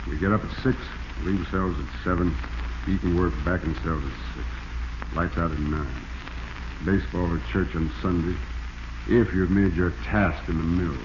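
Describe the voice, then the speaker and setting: An actor playing a seasoned, gruffly welcoming convict with a wry, matter-of-fact 1940s radio delivery. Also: male voice, sits low, steady tone, moderate pace, lightly acted. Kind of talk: 1940s radio drama acting. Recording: muffled, noisy 1940s radio.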